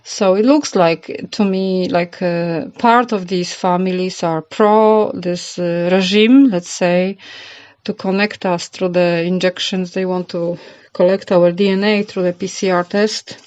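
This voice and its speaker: Low-voiced Polish-accented woman, hesitant delivery. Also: fairly even intonation, a little slow, darkish tone. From Poland. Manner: hesitant, rambling delivery with many repeats. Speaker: channel host. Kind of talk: casual opinion monologue. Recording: roomy, slightly dull home recording.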